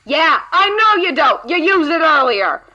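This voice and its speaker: A woman's voice: sits high, big pitch swings, a little slow, sharp tone, dull audio, exaggerated voice. A woman playing a cocky, mischievous adventurer in an audio drama, taunting and theatrical. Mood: gloating. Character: cocky, mischievous female card-playing adventurer, sharp-tongued.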